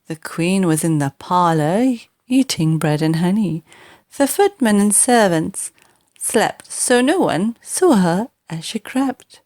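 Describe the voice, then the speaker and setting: A woman reading children's verse aloud in an expressive, theatrical, deliberate storytelling style with emphatic delivery. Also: mid-range voice. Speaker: audiobook reader.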